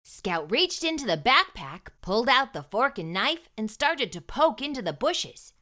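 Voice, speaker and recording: A woman reading a storybook aloud to young children, with expressive, emphatic delivery and deliberate phrasing. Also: fairly high pitch.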